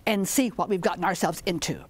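A woman's voice: fairly low pitch, a little fast, breathy edge, voice pushed down. A woman voicing a practical, resigned village mother in a folktale, matter-of-fact as she urges her daughter. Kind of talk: animated oral folktale telling.

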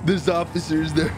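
A man giving excited gameplay commentary, taunting playfully, exclaiming and swearing. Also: a little high. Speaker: gaming YouTuber playing online roleplay. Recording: music behind the voice. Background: music and game vehicle sounds.

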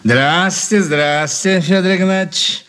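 An actor playing a polite, needling police interrogator in a radio drama. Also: male voice, high-pitched, normal speed, a bit bright. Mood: courteous.

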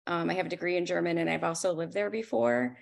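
A woman's voice: fairly low pitch, steady tone, fast, gravelly. A woman in her forties speaking candidly and conversationally as she presents her photography work on a video call. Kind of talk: informal slide presentation.

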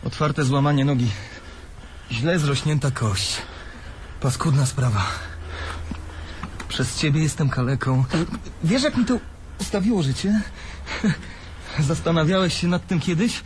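An actor playing a man who was crippled by his brother, calm and reproachful. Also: on the high side, a little slow, pauses often, a little hiss or hum. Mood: bitter. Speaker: radio drama actor. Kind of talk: radio play acting.

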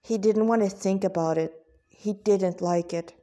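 A woman's voice: mid-range voice, measured pace, warm tone. A woman narrating a story aloud in amateur fashion, correcting herself and adding asides as she goes. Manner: stumbling reading with self-corrections and asides. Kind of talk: amateur storytelling read-aloud with character dialogue.

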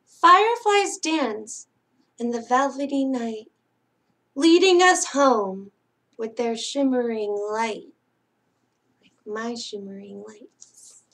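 Casting: woman, middle-aged, high-pitched voice, slow delivery, gentle children's storyteller and host. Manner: gentle, singsong, addressing young children. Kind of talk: children's picture-book read-aloud. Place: small indoor space hung with curtains and string lights.

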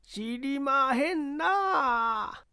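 A reader voicing an elderly inn woman with a Kyoto accent, polite but evasive, in drawn-out singsong replies for an audiobook. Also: sits high, animated, sharp tone, pitched above the performer's own voice, heavily acted. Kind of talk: audiobook character acting.

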